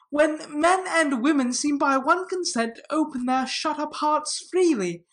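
A woman voicing a cheerful, earnest, warm-hearted young nephew in a storybook reading. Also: high-pitched, pitched above the performer's own voice. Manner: bright-spirited, pleading, earnest. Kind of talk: audiobook character voice.

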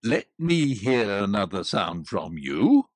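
A woman voicing a grumpy, stern, miserly old uncle with a gruff, scornful manner in a storybook reading. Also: rumbling low, wildly swooping pitch, unhurried, no echo, pitched below the performer's own voice, exaggerated voice. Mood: biting. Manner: gruff, indignant, biting. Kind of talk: audiobook character voice.

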